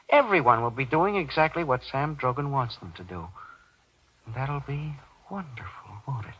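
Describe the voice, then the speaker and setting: An actor playing a menacing, power-drunk little man in radio horror, raspy and falsely polite, taunting with tag questions. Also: medium pitch, sharp tone, full character voice, rough recording. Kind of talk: golden age radio horror acting. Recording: old radio drama sound.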